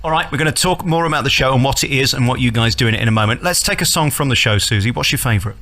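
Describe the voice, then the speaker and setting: A man hosting a radio interview, teasing and flattering his guests. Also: medium pitch. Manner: teasing, flattering, fast-talking. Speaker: radio host.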